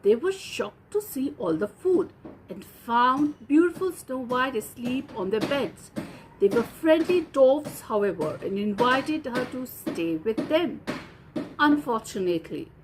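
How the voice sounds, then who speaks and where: A woman narrating a fairy tale as a storyteller, in measured, deliberate short phrases. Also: high voice, very animated, background noise. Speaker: storyteller and host.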